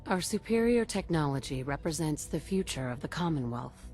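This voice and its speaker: A woman playing a composed, diplomatic heroine in a video game, speaking in a calm, controlled and reassuring way. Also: low-pitched, normal speed, soft background music. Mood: solemn, proclaiming. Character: composed, diplomatic heroine, incoming director of a secret institute.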